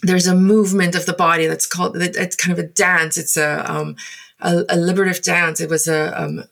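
A South African woman in her forties whose first language is Afrikaans, speaking in English and telling her own story in a thoughtful, halting way with many pauses and fillers. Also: lowish voice, flat delivery, vocal fry, fairly bright tone. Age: 40s. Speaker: guest. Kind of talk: reflective personal storytelling.

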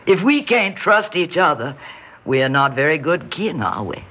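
Fluttery genteel woman, sharp animated voice. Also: sits low, a little slow, slightly rough, heavily acted. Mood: eager, doting. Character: genteel, lonely woman shopkeeper, doting on her young cousin. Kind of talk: old-time radio drama acting. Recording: dull noisy old radio recording.

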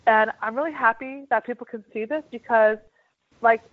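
A woman from the United States co-hosting a casual chat, talking lively with lots of filler words. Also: fairly high pitch, moderate pace, no echo. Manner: lively, lots of "like" and "you know". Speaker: podcast co-host. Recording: dull dry lo-fi sound.

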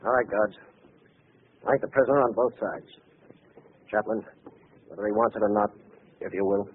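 A man playing a stern, unmoved prison warden in 1940s radio acting, with a gravelly voice. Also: lowish voice, sing-song, moderate pace. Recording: tinny, hissy old radio recording.

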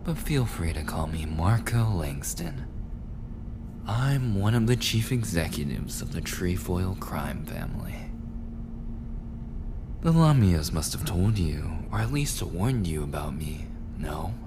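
A man playing a menacing, mocking young mafia prince in an immersive audio roleplay, taunting with drawn-out words and false sweetness. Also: deep voice, big pitch swings, noisy background, heavily acted. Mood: smug, menacing. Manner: taunting, slow, drawn-out delivery with pet names.

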